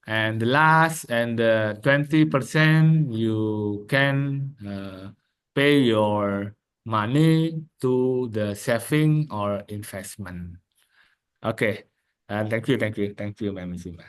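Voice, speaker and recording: A man with an Indonesian accent, a native Indonesian speaker, lecturing students in halting English mixed with Indonesian. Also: fairly low pitch, clear, resonant tone. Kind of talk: advice to students in an academic webinar.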